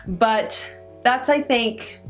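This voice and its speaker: Young woman vlogger, casual Q&A, noticeable stutter with repeated first sounds, slow deliberate delivery, faint guitar music underneath. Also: a little high. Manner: halting, many restarts and pauses. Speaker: vlogger answering viewer questions. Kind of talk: casual Q&A chat.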